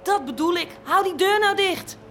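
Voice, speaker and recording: High-voiced, complaining cloakroom girl. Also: moderate pace, fairly bright tone, a little hiss or hum. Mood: indignant. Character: complaining, sharp-tongued female strip-club cloakroom girl. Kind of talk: radio drama acting.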